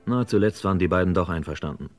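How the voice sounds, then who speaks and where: A cool, wry reporter telling his own story in an old crime radio play. His voice is low, and he speaks in laconic, clipped sentences. Music runs through the vintage recording. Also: fast talker. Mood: laconic, dry. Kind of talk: 1950s-80s German crime radio play narration and dialogue.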